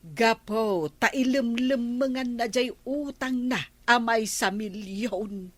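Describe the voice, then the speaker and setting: An actress playing a confiding, self-assured village gossip in an Ilocano radio comedy drama, with an Ilocano accent. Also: female voice, fairly high pitch, takes it slowly, no echo. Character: gossiping village woman, confiding and sure of herself.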